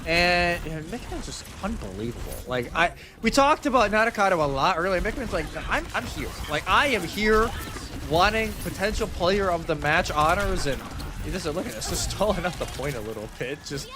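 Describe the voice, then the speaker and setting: A man's voice: sits high, big pitch swings, moderate pace, noisy background. A man giving live commentary on a video game match as an esports caster, speaking colloquially and emphatically.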